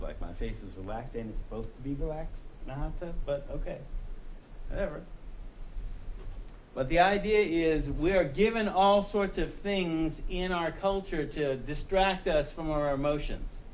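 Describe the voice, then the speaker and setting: A man's voice: on the high side, moderate pace, a little hiss or hum, rough recording. An American man giving a college lecture in a classroom. He talks conversationally, keeps asking "right?", and acts out people's inner voices. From United States. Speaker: college instructor.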